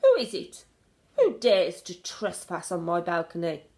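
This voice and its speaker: A woman's voice: on the low side, wildly swooping pitch, a little slow, a little mellow. Woman acting a villainous witch leader, haughty, snappish, commanding, children's storybook. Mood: suspicious, snappish.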